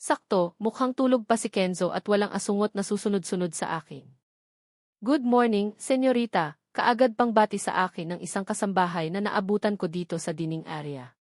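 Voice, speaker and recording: Woman, Filipino accent, scripted romance audiobook narration, mid pitch, close dry sound. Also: fairly quick, dry recording. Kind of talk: scripted first-person narration of a romance novel.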